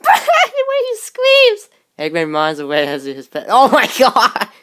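A woman reacting to a video in excitable commentary, exclaiming and sometimes halting or stammering over words. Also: medium pitch, a little hiss or hum. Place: bedroom, indoors.

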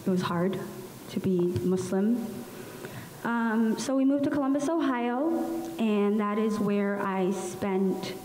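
Slow-speaking woman in an echoey hall. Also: medium pitch. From Somalia. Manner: slow, many ums, soft laughs. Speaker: panelist. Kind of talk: personal storytelling at a panel. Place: large room at a public panel event. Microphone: handheld mic. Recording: echoey hall with faint hiss.

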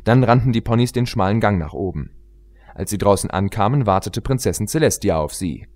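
A man's voice: fairly low pitch, lively intonation, fairly quick, a little mellow. A man reading scripted story narration expressively, as an audiobook narrator.

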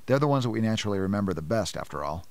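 A man playing a measured, cerebral scientist and inventor in an amateur radio play. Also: fairly low pitch, sing-song, a little mellow. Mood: clinical.

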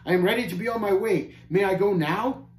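A man voicing an earnest, tender-hearted pilgrim in a storybook tale, gentle and pleading. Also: high voice, a little fast, pitched above the performer's own voice, lightly acted. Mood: eager wonder. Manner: gentle, pleading, old-fashioned storybook phrasing. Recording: roomy echo, faint background noise.

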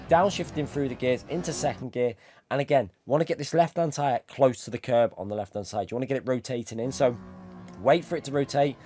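A man with a medium-pitched voice hosts a racing game tutorial at a brisk pace. His voice is going from a cold as he explains each step in an instructional way. Faint music plays behind him. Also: darkish tone. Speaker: sim racing YouTuber, host. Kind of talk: tutorial commentary over racing game footage.

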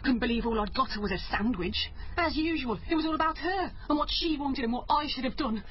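An actress playing a resentful, distraught young daughter in a naturalistic radio drama. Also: female voice, high voice, animated, fairly quick, highs cut off, slight background noise. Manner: resentful, emotional. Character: embittered, distraught daughter confessing and accusing her mother.

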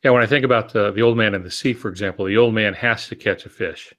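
Older male co-host, sixties, fairly low pitch, measured teacherly delivery, video-call sound. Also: fairly bright tone. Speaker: podcast co-host, writing mentor. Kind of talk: explanatory discussion. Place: home office, on a video call.

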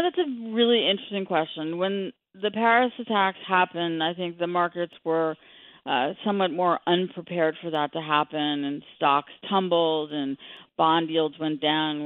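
Phone-line guest: middle-aged female expert, explanatory and measured, dry sound with narrow bandwidth. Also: low-pitched, no room sound. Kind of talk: radio interview, explanatory talk.

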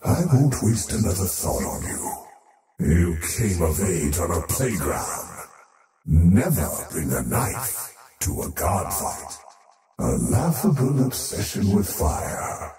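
Casting: faceless cosmic usurper, game villain; menacing, slow, wildly swooping delivery. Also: male voice, sits low, very animated, exaggerated voice. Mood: scornful, haughty. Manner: haughty, menacing, slow grandiose declamation. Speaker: video game character voice. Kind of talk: theatrical game villain voice lines. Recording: heavily echoing, distant-sounding, over music. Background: music.

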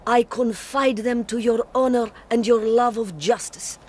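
An actress playing a proud, resolute, tragic exiled wife in a radio drama, speaking in a solemn, deliberate, dramatic way. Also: female voice, a little high, fairly even intonation, a little mellow, a little hiss or hum, lightly acted. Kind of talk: BBC radio drama acting.

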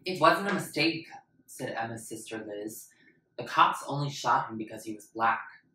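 A woman in her twenties giving an expressive reading from a book. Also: extremely low pitch, moderate pace. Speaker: reader. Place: plain-walled room indoors. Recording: echoey room with background noise.

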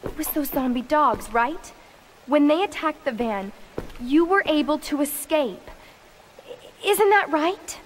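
A woman's voice: high voice, expressive, unhurried, big-room sound, slight background noise. A young woman with an American accent playing an earnest, determined police medic in a video game cutscene. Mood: insistent, concerned.

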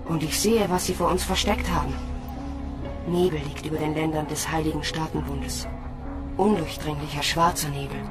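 An actress playing a resigned young woman space soldier who narrates her fate in measured, literary monologue in a radio drama. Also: sits low. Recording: loud music under the voice.

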